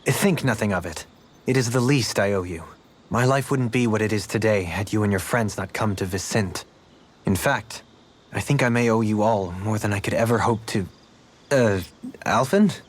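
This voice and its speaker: Game nobleman, played by a man: courtly and reflective, fairly low voice, formal, gentle, eloquent phrasing. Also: normal speed, lightly acted. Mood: warm, grateful. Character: cultured, courtly nobleman, gracious, reflective, music-loving. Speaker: video game party member. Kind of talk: English-language video game character dialogue.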